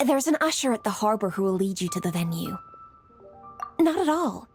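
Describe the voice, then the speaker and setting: A woman's voice: mid-range voice, sing-song, normal speed, a little mellow, faint music behind. A woman playing a poised, dutiful young female official in a video game, speaking formally and articulately. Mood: composed, informative. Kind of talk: scripted video game dialogue.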